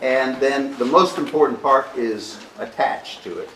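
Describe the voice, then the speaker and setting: A man giving informal, somewhat halting pre-show remarks to a live audience as a co-presenter. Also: medium pitch, fairly bright tone. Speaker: co-presenter introducing a staged reading. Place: indoor arts venue, before a live audience. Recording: echoey, distant live-event recording with faint noise.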